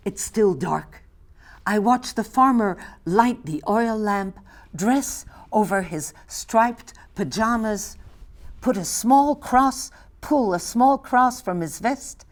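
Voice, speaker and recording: A woman in her eighties from Belgium, a native Flemish speaker with a Flemish accent, reading her poetry softly and with measured care, sometimes dropping to a whisper. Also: a little high. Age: 80s. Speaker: poet.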